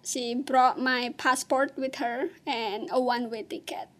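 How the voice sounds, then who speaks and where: A woman from Indonesia with an Indonesian accent, giving personal testimony in a plain, halting way with many fillers. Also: a little high, steady tone, fairly bright tone. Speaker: interviewee.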